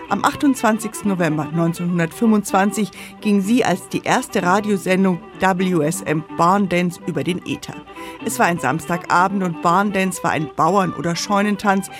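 A narrator reading a scripted radio history feature. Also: medium pitch.

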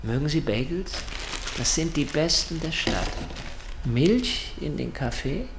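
An actor playing a coldly polite, controlling masked kidnapper, terse and deliberate, in a radio drama. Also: male voice, a little high, lively intonation. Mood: coldly insistent. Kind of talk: radio play acting. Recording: loud noise behind the voice.

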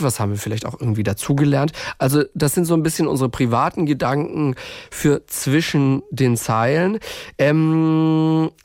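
A man's voice: mid-range voice, darkish tone, no echo, studio quality. A man hosting a podcast in a casual, colloquial conversational way. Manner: informal, colloquial. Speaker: podcast host.

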